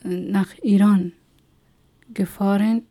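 Afghan-accented woman, middle-aged, halting and hesitant, dark mellow tone, flat pitch. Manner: halting, many hesitations, slow and searching for words. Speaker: interviewee. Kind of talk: personal testimony.

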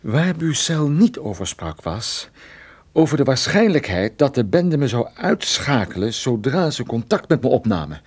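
A man playing a wry, stubborn private detective in a Dutch radio play, explaining things in a conversational, halting way. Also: medium pitch, sing-song, husky. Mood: urgent, resolute. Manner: conversational, hesitant interjections, explanatory. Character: wry, stubborn male private detective, injured but determined.

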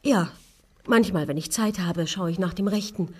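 A woman's voice: on the low side, normal speed, slightly rough. A woman playing a timid, guarded wife of a suspect, answering hesitantly and cautiously in a radio crime drama. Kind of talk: German radio drama dialogue.

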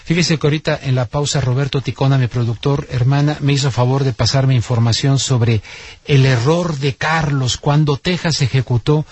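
A man's voice: mid-range voice, steady tone, moderate pace, a little mellow, slightly rough, dry recording. A man hosting a radio interview, conversational and often jumping in, with filler words. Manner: conversational, interjecting, uses "este". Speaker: radio host. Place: radio studio.

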